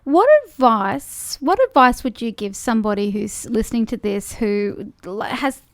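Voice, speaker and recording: A woman's voice: on the high side, measured pace, no room sound. A woman hosting a podcast, giving supportive murmurs of agreement in a casual interview. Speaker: podcast host.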